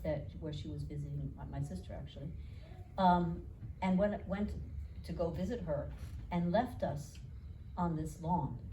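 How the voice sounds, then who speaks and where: A woman's voice: deep voice, dark tone. A woman from New York City with a New York accent, an educator and author thinking aloud and telling stories with frequent fillers and restarts in a conversational interview. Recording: distant mic with clear background noise.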